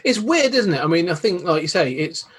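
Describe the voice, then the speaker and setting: Male podcast guest, husky and a touch high, hesitant casual talk. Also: big pitch swings, fairly quick. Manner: hesitant, lots of fillers and restarts. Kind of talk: casual nerd chat.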